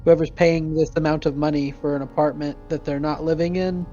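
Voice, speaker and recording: A man playing a tabletop roleplay game, improvising in-character talk in a halting way and often rephrasing himself. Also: on the high side, little pitch movement, warm tone. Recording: dull muffled audio, music behind.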